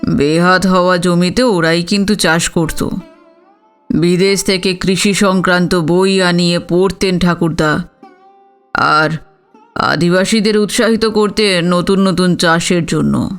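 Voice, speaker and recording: An actor playing a dignified older village father-in-law in an audio story, proud and reflective, explaining himself in a measured, deliberate way. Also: sits high, steady tone, fairly bright tone, clean tone, soft background music, full character voice. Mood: measured, defensive. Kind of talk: Bengali audio story acting.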